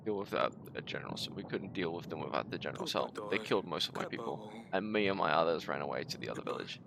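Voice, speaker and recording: A man voicing a weary male villager who fled his home, speaking low and hushed. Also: lively intonation, quick pace, vocal fry, crowd noise. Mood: defeated.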